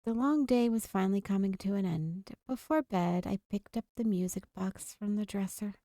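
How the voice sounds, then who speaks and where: A woman's voice: mid-range voice, moderate pace, warm tone, no echo, clean studio sound. A woman hosting a gaming video, reading a visual novel aloud and now and then stumbling over a word.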